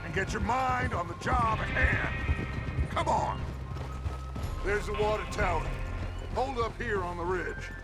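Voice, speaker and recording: An actor playing a silver-tongued, authoritative outlaw gang leader in a western video game, with theatrical delivery. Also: male voice, sits high, measured pace, pauses often. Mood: commanding. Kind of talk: dramatic video game cutscene acting. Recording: loud music behind, game sound effects.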